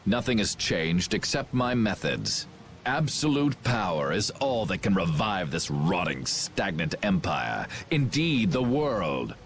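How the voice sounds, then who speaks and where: A cold, pompous would-be ruler in a game cutscene. His voice sits very deep and moves in measured, formal phrases that swing widely for theatrical weight. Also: big pitch swings, slight background noise, heavily acted. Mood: grandiose, fervent. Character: grandiose male villain commander, cold would-be ruler. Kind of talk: video game cutscene acting.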